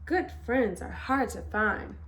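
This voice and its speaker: Woman playing a high, earnest little storybook girl. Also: slow pace. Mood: earnest, defiant. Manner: high, animated, emphatic. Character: earnest, loyal little girl devoted to her friend.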